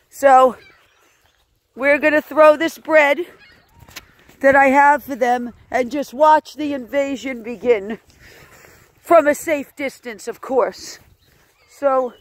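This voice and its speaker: Amazed, slow-paced woman vlogging outdoors. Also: sits high, no room sound. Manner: exclamatory, amazed, repeats phrases. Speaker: animal sanctuary host filming a vlog. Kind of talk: casual excited outdoor narration to viewers. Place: outdoors at a rural animal sanctuary.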